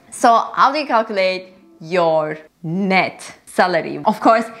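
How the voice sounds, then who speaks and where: A young woman from Turkey with a Turkish accent, explaining things casually and directly to viewers in a conversational vlog style. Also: lowish voice. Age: young adult. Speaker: vlogger and engineer. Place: living room, indoors.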